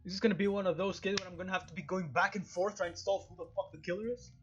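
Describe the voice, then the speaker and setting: A game streamer reading a visual novel aloud in a casual let's-play style, with excitable, self-mocking asides. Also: mid-range voice, racing, muffled recording, a little hiss or hum.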